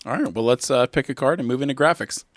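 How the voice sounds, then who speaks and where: A man hosting a podcast, giving his opinions in measured, casual group chat. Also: mid-range voice, no echo, clean studio sound. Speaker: podcast host steering the discussion.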